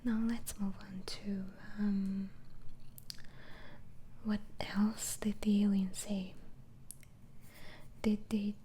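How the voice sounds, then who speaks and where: A woman plays a gentle female doctor interviewing a patient in a whispered ASMR roleplay. The whisper is soft, mellow and unhurried, with hesitant, murmured follow-ups. A faint noise sits behind the voice. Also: mid-range voice, flat delivery. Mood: soft, inquisitive. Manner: halting, hesitant, murmuring acknowledgements.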